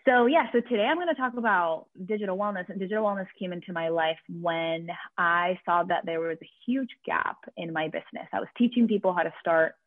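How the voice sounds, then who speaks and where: A woman's voice: fairly low pitch, fairly quick, hardly any pauses, dry recording. A woman from Venezuela with a Venezuelan Spanish accent, a podcast guest talking energetically with many restarts. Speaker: guest, career coach. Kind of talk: podcast interview. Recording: dry, tinny phone-like line.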